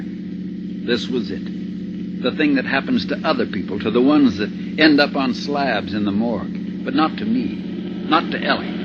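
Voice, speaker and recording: An actor in a 1950s radio drama playing a steady, wry middle-aged husband, with a terse, hard-boiled way of telling it. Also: male voice, medium pitch, heavy background noise, lightly acted. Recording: dull old radio recording.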